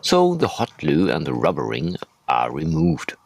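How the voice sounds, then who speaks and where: A man's voice: rumbling low, huge pitch swings, unhurried, creaky. A man narrating a hobby tutorial as a voice-over, hosting his own how-to video.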